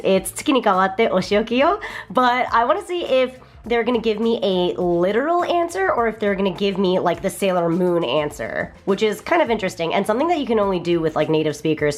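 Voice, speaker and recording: A young woman vlogger, bubbly and enthusiastic, chatting casually through an app walkthrough. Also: mid-range voice. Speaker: vlogger reviewing a language app.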